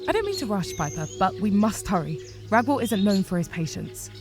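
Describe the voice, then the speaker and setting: A woman's voice: medium pitch, very animated, fairly quick, over music, lightly acted. A woman voicing an earnest young female rabbit apprentice healer in a fantasy game, polite and restrained. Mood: polite, urgent. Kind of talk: visual novel voice acting.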